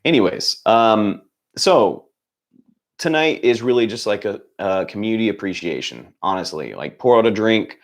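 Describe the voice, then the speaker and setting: A young man hosting a casual livestream, hoarse and close to losing his voice, talking in an upbeat, rambling way with lots of fillers and restarts. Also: lowish voice, lively intonation, normal speed. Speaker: channel host.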